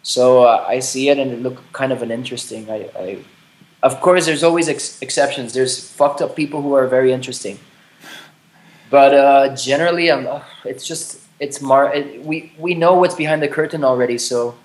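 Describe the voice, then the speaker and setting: A man's voice: mid-range voice, normal speed. A man in his late thirties in a candid conversation, speaking thoughtfully with frequent restarts and casual swearing. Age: 30s. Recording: roomy echo.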